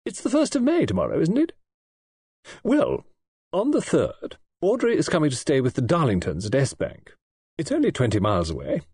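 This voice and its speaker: A man's voice: a little high, huge pitch swings, moderate pace, darkish tone, slightly rough, dry recording, studio quality, voice pushed up, heavily acted. A man reading an audiobook, voicing a robust, imperious elderly aristocratic woman who talks in a forthright, snappish way. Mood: amused, brisk. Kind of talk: audiobook character voice.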